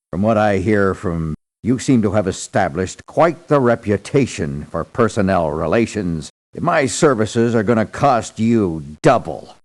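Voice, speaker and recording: An actor playing a self-important, stern mercenary doctor in a video game, speaking in a haughty, formal, deliberate way. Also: male voice, fairly low pitch. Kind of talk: video game character voice lines.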